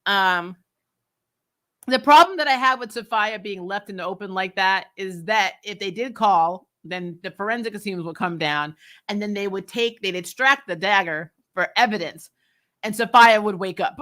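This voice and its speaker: Casting: female recap host, bright forceful voice, candid and sassy, informal fan chat with emphatic opinions. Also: mid-range voice. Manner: candid, sassy, emphatic, colloquial with casual swearing. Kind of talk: casual fan commentary and chat.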